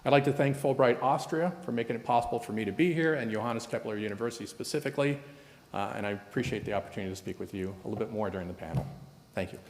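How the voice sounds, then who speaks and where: A man speaking as a panelist, wrapping up his talk in a brisk, courteous way. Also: mid-range voice, a little mellow, slight background noise. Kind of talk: closing a prepared talk. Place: conference hall. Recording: long hall reverb.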